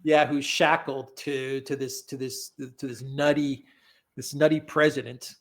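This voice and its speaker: A man's voice: mid-range voice, little pitch movement, unhurried, a bit bright. Rambling, chuckling man on a live stream. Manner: rambling, repeats words, laughs often. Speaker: guest commentator. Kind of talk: casual live-stream chat.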